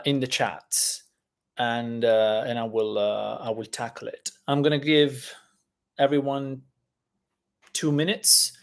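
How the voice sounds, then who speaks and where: A man who is a native Italian speaker, hosting a casual live-stream lesson, talking in a chatty, halting way with many fillers. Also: medium pitch.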